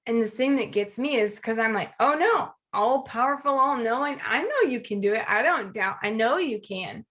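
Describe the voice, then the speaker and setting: A woman's voice: a little high, expressive, moderate pace, a bit bright. A woman co-hosting a podcast in casual conversation, voicing her inner thoughts as little quotes. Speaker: podcast co-host.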